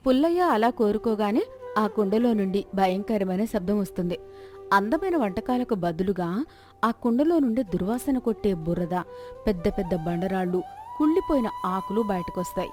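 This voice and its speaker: A woman's voice: mid-range voice, lively intonation, moderate pace, warm tone, faint music behind. A woman narrating a scripted moral folk story as a storyteller.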